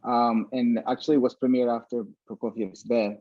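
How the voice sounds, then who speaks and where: A man from Venezuela talking casually as a musician being interviewed, pausing often mid-sentence. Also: level tone. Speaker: orchestra violinist, interview guest. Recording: dull, roomy low-fidelity sound.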